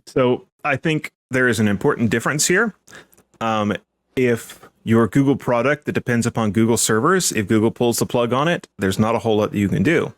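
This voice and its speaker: A man's voice: medium pitch, brisk, dry recording, studio quality. A man discussing technology analytically as a podcast panelist.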